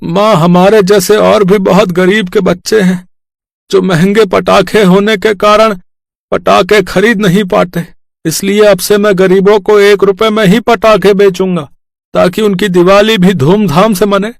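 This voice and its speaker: A poor, kind-hearted village labourer from a cartoon tale, played by a man. His voice is high and creaky, earnest and plaintive. The sound is close and dry. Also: level tone, unhurried, no room sound. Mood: kindly. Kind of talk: animated moral story voice-over.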